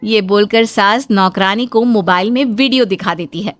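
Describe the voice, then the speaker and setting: A woman's voice: medium pitch, normal speed, no room sound. Woman narrating a story, dry recording.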